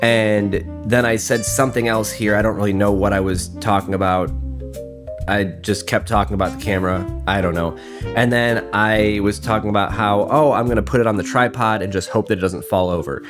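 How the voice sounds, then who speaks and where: A man's voice: on the low side, steady tone, brisk. A man with a cold and a nasal voice, rambling through a casual, self-deprecating vlog voiceover. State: congested from a cold. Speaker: photography vlogger. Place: voiceover recorded indoors. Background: guitar music.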